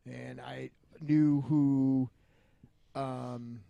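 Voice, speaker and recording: A man's voice: medium pitch, level tone, very slow, creaky, dry recording, phone-quality sound. A man co-hosting a podcast, arguing about film in an emphatic, combative way with plenty of swearing. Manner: argumentative, emphatic, profane. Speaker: podcast co-host. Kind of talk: heated film review discussion.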